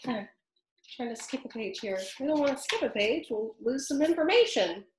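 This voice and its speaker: A woman reads a children's story aloud, stopping for friendly asides to her young listeners. Her voice is fairly high and very lively in its rise and fall. The room adds a roomy echo. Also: normal speed. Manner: animated and chatty, talking to young listeners. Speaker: storybook reader.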